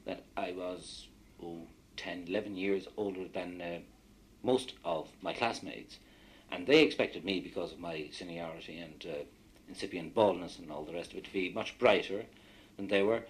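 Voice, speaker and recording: A man with an Irish accent and a very low, husky voice. His first language is Irish. He talks in a loose, anecdotal way, wandering through his memories as a guest in a discussion. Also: moderate pace, low-quality recording. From Ireland. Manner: anecdotal, rambling recollection. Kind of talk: radio discussion.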